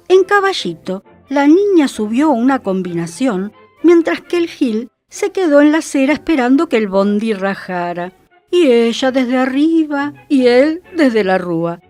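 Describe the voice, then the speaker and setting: A woman's voice: fairly high pitch, darkish tone. A woman performing a sardonic old-time porteño storyteller, in a dramatic, admonishing monologue with a Buenos Aires accent. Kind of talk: theatrical monologue in tango slang.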